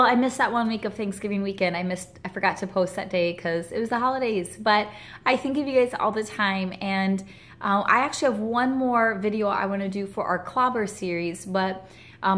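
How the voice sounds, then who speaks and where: A woman's voice: mid-range voice, a bit bright. A woman in her thirties vlogging from her living room couch, earnest and heartfelt, a little scratchy from a chest cold, with many ums and restarts. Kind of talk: casual direct-to-camera vlog.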